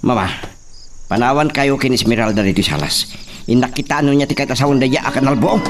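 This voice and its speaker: A man with an Ilocano accent playing a smooth young gentleman suitor in a radio drama, recorded from a distance. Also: medium pitch, quick pace, over music, lightly acted. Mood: calming. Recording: distant mic, faint noise.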